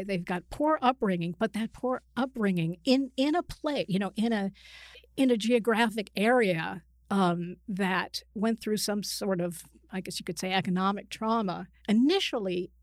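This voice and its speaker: Middle-aged woman, interview guest, conversational, halting thoughtful explanations, dry close sound. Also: mid-range voice, dry recording. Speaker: interview guest, psychiatrist and author.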